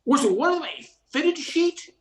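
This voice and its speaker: A woman's voice: sits high, expressive, measured pace, echoey room, slight background noise. An older woman playing an eager, earnest agent in a comic radio play, emphatic and mock-serious. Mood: brisk, official. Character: eager, earnest agent on a trivial household case.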